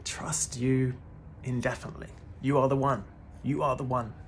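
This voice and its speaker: A man's voice: mid-range voice, little pitch movement, moderate pace, a little hiss or hum. A man in his thirties giving a casual, laid-back spiritual talk to the camera, often calling the listener "man" and swearing now and then. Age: 30s. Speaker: spiritual vlogger.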